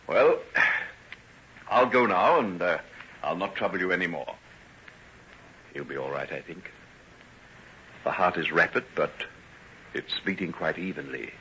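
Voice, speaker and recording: An actor in an old-time radio drama playing a soothing, apologetic gentleman doctor with a polite, formal, hesitant manner. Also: deep voice, measured pace, long pauses. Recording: dull old radio recording, faint noise.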